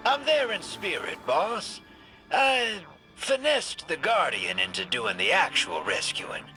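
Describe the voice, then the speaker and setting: A man's voice: sits high, very animated, takes it slowly, pushed and bright, soft background music, full character voice, low-quality recording. An actor voicing a cocky, wisecracking male space smuggler with a sardonic, drawling, slangy delivery in a video game. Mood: teasing, smug.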